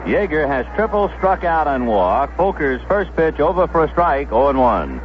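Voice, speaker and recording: A man's voice: on the high side, very animated, moderate pace, dull audio, background noise. A man giving live play-by-play baseball commentary as a radio announcer on a 1970s broadcast.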